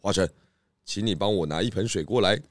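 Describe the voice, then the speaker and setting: An actor voicing a calm, confident detective pig who explains the case in a children's radio drama. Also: male voice, low-pitched, fairly quick, natural voice. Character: calm clever pig detective, male adult. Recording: music bed under voice.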